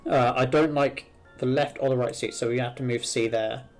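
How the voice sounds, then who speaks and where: A man is streaming a puzzle game with its music playing behind him. He reads the clues aloud and reasons through them step by step. His voice sits at a middling pitch as he gives his running commentary. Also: fairly even intonation, moderate pace. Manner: thinks aloud while working through puzzles. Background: video game music.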